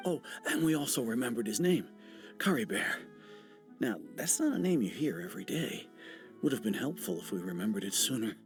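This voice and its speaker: Man voicing easygoing villager with gravelly, animated delivery. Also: medium pitch, fairly quick, natural voice. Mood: casual storytelling. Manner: casual, musing, hesitant storytelling. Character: easygoing, chatty male villager, musing and kindly. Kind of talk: video game dialogue acting. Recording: soft music behind the voice.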